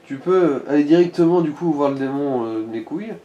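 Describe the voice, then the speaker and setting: A man's voice: fairly high pitch, a little fast, dark tone, reverberant, dull audio. A man giving casual game advice in an informal, crude-mouthed way. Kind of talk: casual gaming chat.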